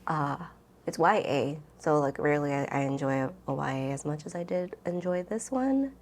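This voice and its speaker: Woman, lower pitch, heavy vocal fry, casual vlog chat, informal, rambling, thinking aloud. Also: normal speed, slight background noise. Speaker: book vlogger. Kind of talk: casual chatty vlog, answering tag questions.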